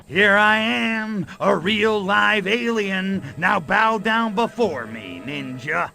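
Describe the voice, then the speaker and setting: An actor playing a cocky, sarcastic, short-tempered alien invader in a video game, with a brash, slangy, gravelly delivery. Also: male voice, high voice, expressive, takes it slowly, bright, forceful tone, soft background music, exaggerated voice. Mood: boastful, threatening. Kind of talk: video game cutscene acting.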